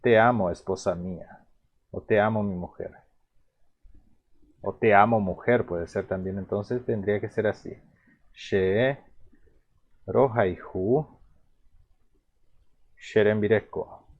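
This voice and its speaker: Paraguayan guy w/ a fairly low voice, guarani native, teaching phrases kinda halting, lots of repeats. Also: highs cut off. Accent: Paraguayan Spanish. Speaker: language teacher. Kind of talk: explaining vocabulary in a video lesson.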